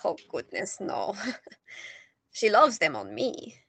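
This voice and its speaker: An actress playing an elderly woman in her nineties, a proud former resistance fighter, speaking firmly and warmly. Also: mid-range voice, wildly swooping pitch, takes it slowly, vocal fry, breathy edge, no room sound, exaggerated voice. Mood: reflective. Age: older. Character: elderly woman, war veteran, proud and firm. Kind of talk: audio drama acting.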